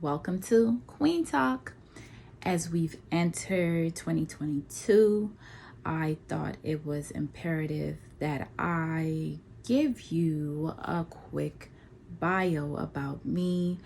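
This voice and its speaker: Slow-spoken woman in her thirties. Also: deep voice, gravelly, darkish tone, slight background noise. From Nigeria. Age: 30s. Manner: slow and deliberate, many ums and pauses. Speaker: vlogger. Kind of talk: casual personal introduction to camera.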